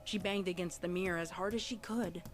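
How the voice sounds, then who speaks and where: A woman's voice: on the low side, steady tone, brisk. A woman reading scripted story narration in a dramatic style. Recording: music behind the voice.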